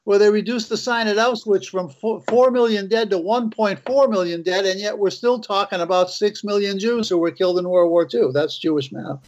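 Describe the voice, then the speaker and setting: A man's voice: sits high, normal speed, hardly any pauses, a little mellow, dull audio. A man hosting a casual panel discussion, speaking in a mocking, insistent way. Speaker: show host.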